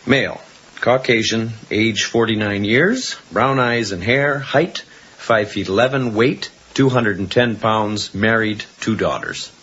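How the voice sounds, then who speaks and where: An actor playing an eager, helpful male colleague in a radio drama, talking in a brisk, clipped way. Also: on the low side, a bit bright, slightly rough. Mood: matter-of-fact. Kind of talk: 1980s radio drama acting. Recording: dull 1980s radio broadcast with music.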